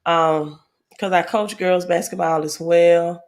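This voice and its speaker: A woman hosting a casual livestream, a little hoarse from a head cold, reading viewer comments aloud with many fillers. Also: on the low side, fairly even intonation, takes it slowly. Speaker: livestream host.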